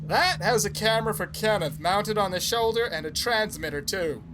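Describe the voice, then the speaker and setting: A man's voice: high voice, expressive, moderate pace, over music, poor audio. A man playing an easygoing, reassuring team leader in an audio drama. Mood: reassuring, casual. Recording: faint background noise and ambience.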